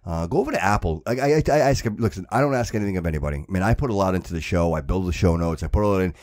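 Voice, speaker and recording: A man's voice: low-pitched, lively intonation, very fast, a little mellow, no room sound, studio quality. A man hosting a podcast in loose, casual chat, effusive and often repeating himself. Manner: effusive, repeats himself, says bro and dude. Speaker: podcast host.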